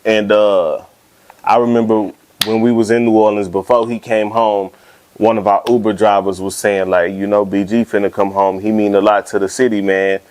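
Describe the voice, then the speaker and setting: Easygoing low-voiced male host. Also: flat delivery, normal speed, a little mellow. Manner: easygoing, stammering restarts, "you know what I'm saying". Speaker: podcast host. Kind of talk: casual interview talk.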